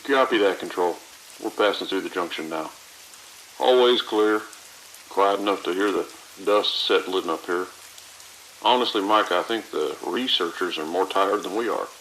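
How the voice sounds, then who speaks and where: An actor as a bored, restless male patrol officer with a low, sharp, forceful voice. He gives dry, clipped reports in this audio drama, with some creak and faint hiss. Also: normal speed, rough recording. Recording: faint hiss and rain-like noise.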